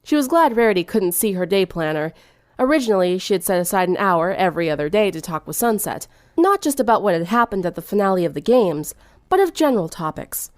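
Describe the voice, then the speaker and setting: A woman's voice: medium pitch, very animated, quick pace, dry recording, studio quality. A woman reading fan fiction aloud as scripted third-person narration.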